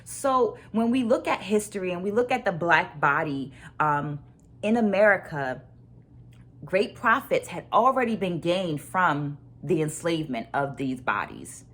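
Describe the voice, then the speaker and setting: A woman with a slightly low voice speaks as the host of an online book club. She presents her notes and thoughts deliberately, leaning on key phrases and repeating them for emphasis. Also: animated, a bit bright. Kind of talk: book discussion vlog with takeaways and read quotes.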